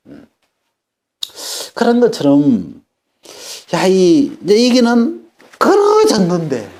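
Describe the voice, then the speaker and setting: A man in his fifties preaching a Sunday sermon, halting and repeating words, then rising sharply for emphasis. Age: 50s. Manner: animated preaching, pitch swinging up for emphasis and dropping low. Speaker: pastor.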